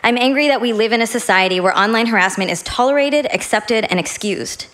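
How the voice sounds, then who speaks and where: A woman giving a prepared talk in a measured, deliberate way, heard in a played clip. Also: fairly high pitch, a bit bright. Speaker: speaker in a played video.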